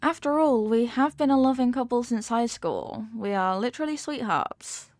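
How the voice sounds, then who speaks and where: A woman's voice: a little high, animated, moderate pace, darkish tone, dry recording, clean studio sound. A woman acting a possessive, manipulative rich young girlfriend in an intimate audio roleplay, talking down in a coaxing, teasing way with veiled threats. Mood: condescending, controlling.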